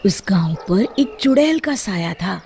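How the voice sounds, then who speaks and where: A woman narrating a scripted children's story in a dramatic, expressive way. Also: medium pitch, measured pace, creaky, background noise.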